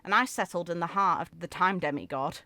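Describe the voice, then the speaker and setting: A woman's voice: lowish voice, fairly quick, sharp tone, dry recording, soft background music. A woman voicing a sweet, wistful young heroine from a game story, in a tender storybook manner. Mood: solemn, tender.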